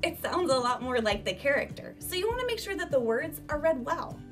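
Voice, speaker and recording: High-pitched woman teacher, distant mic over music. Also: a little fast. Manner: emphatic, animated teacher delivery with rhetorical questions. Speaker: elementary school teacher. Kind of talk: instructional talk to children. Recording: distant microphone, music behind.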